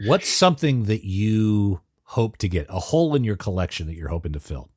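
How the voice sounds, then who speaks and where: A man hosting a podcast with a low voice that moves up and down in a lively, animated way. He keeps his questions short and prompting, and the sound is dry and close. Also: fairly quick, husky, no echo. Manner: asks brief, prompting questions. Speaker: podcast host. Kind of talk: casual interview chat.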